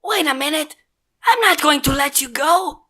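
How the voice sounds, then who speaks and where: A reader voicing a brash, excitable young female pegasus pony in a cartoon fan fiction story. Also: sits high, moderate pace, pitched above the performer's own voice. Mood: urgent, defiant.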